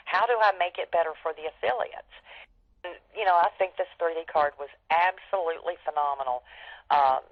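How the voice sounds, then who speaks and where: A woman's voice: on the low side, dry recording. Female sales leader, enthusiastic and emphatic, informal update, close dry sound over a muffled telephone. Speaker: network marketing leader giving updates. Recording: dull, band-limited conference phone line.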